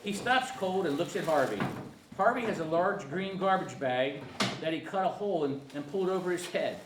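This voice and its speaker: Male screenplay narrator, lively, slightly high voice, informal table read with room echo. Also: normal speed. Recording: echoey room, faint noise.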